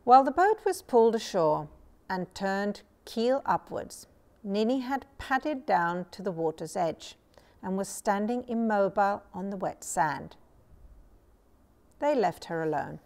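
A woman's voice: mid-range voice, animated, a little slow, a little mellow. Middle-aged woman reading a children's story. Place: small furnished room indoors. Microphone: lapel mic.

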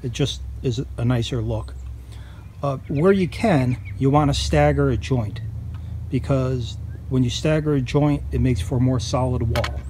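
A man's voice: mid-range voice, measured pace, dark tone. A man giving a casual, folksy how-to narration in a plain-spoken way, recorded outdoors on a handheld camera. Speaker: farm vlogger. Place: outdoors on a farm property. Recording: outdoor noise behind the voice.

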